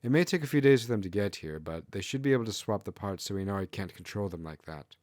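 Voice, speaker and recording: A man's voice: fairly low pitch, expressive, quick pace, darkish tone, no room sound, studio quality, natural voice. A man voicing a principled, helpful female kitsune clan leader, confident and earnest, in a fantasy story. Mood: eager, helpful.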